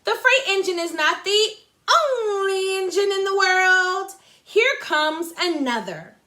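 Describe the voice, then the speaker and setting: A woman's voice: very high-pitched, lively intonation, slow pace, bright, forceful tone, voice pushed up, full character voice. A woman voicing a cheerful little male toy clown, eager and upbeat.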